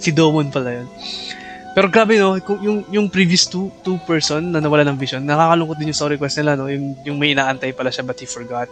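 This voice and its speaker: A Filipino-accented man chats casually as a game livestreamer, mixing two languages. His voice is fairly high and forceful in its brightness, with light game music underneath. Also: fast talker. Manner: echoes game dialogue, jokey asides. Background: faint game music.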